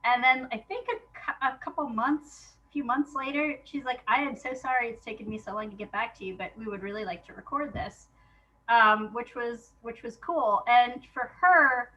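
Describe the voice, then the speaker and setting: A woman speaking as an interview guest, a playwright chatting casually about her work and telling it in an animated way, acting out remembered exchanges. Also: a little high, moderate pace, muffled recording.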